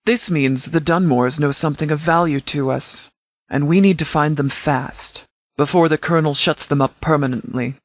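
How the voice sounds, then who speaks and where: An actor playing a stern but caring military commander in a video game, speaking in a measured, formal, authoritative way. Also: male voice, on the high side, fairly even intonation, a bit bright, no echo, studio quality, close to an everyday voice. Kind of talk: scripted game dialogue.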